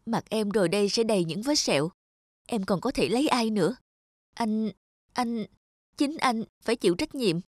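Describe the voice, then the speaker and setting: A woman's voice: fairly high pitch, animated, normal speed, long pauses, no room sound, studio quality. A woman voicing a proud, manipulative young ex-girlfriend in a cartoon drama, dramatic and reproachful. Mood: desperate reproach.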